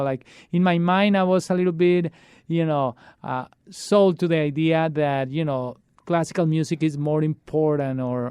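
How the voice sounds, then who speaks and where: A man's voice: a little high, mellow, clear, resonant tone, no room sound, studio quality. A man, a children's musician being interviewed, talking earnestly and often restarting his sentences. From Colombia. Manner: earnest, halting with many restarts. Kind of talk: casual interview talk.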